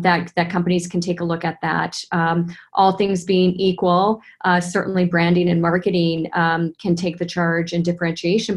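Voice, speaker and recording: A woman who hosts a business podcast, introducing her guest from a prepared script and then asking chatty, thoughtful questions. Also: fairly low pitch. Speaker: podcast host. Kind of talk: hosted business interview.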